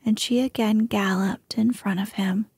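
A woman reading a storybook tale aloud in a soft, unhurried whisper, close to the mic. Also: medium pitch, fairly even intonation, breathy edge.

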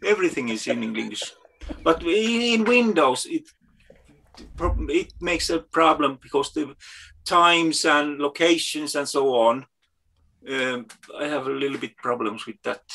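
Slow, halting man. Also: on the high side, gravelly, sharp tone, rough recording. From Finland. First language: Finnish. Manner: searches for English words, halting and hesitant. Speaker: guest. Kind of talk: casual video call chat. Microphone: video call.

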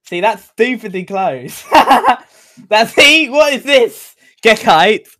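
A man's voice: sits high, animated, slow pace, pushed and bright. A man in his thirties with a Kent English accent, joking and laughing often while co-commentating a game stream from a home gaming setup. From England.

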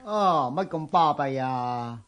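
An actor playing a jovial, easygoing monk companion in a radio drama, teasing and exclamatory, with a Hong Kong Cantonese accent. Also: male voice, medium pitch, lively intonation, slow, dull audio.

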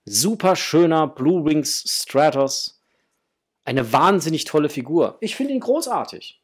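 A middle-aged man with a slightly husky voice, chatting casually and enthusiastically to viewers as the host of an unboxing video. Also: on the high side, very animated, measured pace. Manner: enthusiastic, effusive. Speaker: host of a toy collecting channel. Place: small room at home with framed posters, indoors.

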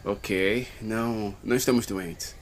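A man's voice: fairly low pitch, fairly even intonation, slow pace. A Brazilian man playing a protective, desperate father in a dramatic video game fan dub. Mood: pleading. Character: protective, desperate father fleeing with injured daughter. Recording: clear noise and ambience behind voice.

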